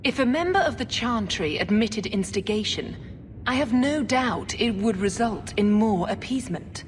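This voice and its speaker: A woman playing a haughty, scheming religious sister in a video game, with a clipped, condescending, measured delivery. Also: a little high, animated, soft background music, close to an everyday voice. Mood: dismissive. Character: haughty, scheming Chantry sister, coolly calculating. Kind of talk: video game cutscene acting. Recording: long hall reverb, clear background noise.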